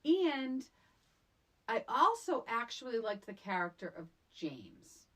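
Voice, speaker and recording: A woman's voice: a little high, big pitch swings, takes it slowly. A woman co-hosting a podcast, casually discussing a film in an emphatic, exasperated way, repeating points for effect. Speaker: podcast co-host.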